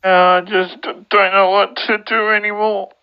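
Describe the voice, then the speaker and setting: A person in their twenties, upset and venting casually in a halting, repetitive, plaintive way, with some swearing. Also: medium pitch, little pitch movement, clear, resonant tone. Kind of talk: casual venting over radio. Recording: dull lo-fi walkie-talkie audio.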